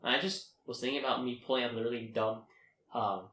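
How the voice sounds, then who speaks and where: A man's voice: medium pitch, steady tone, fast talker, sharp tone, recorded from a distance, slight background noise. A young adult man co-hosting a podcast in casual, rambling, slangy and jokey chat. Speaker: podcast co-host. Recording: echoey room.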